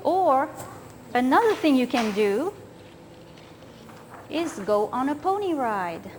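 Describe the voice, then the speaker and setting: A woman in her sixties from France, a children's author gently explaining a slideshow of pictures to young listeners. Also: sits high, big pitch swings, slow, a little hiss or hum.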